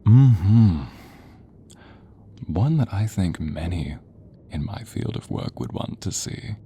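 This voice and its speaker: A man voicing a sadistic, theatrical sorcerer villain in an audio roleplay, silky and menacing, drawing out words and slipping into breathy whispers. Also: sits low, animated, slow pace, warm tone, a little hiss or hum, heavily acted. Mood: smug, menacing. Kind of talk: audio roleplay acting addressed to the listener.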